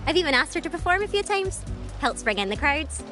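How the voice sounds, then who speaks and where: A woman's voice: high-pitched, big pitch swings, fairly quick. An actress playing a chatty, friendly female tavern keeper in a video game, with a gushing, bouncy manner. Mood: cheerful welcome. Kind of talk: animated video game voice acting. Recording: loud background music.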